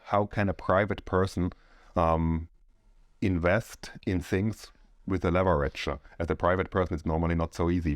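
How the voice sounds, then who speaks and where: A middle-aged man from Austria talks through his background in a podcast chat. His voice sits very low and the sound is dry and close. He speaks in a measured, halting way, pausing to find his words. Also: dry recording. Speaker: co-owner of a real estate firm.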